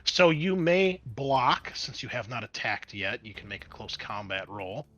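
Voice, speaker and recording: A man acting as game master, narrating the action and explaining rules to players in an animated way. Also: mid-range voice, unhurried, highs cut off. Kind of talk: narrating and refereeing a tabletop roleplaying game. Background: background music.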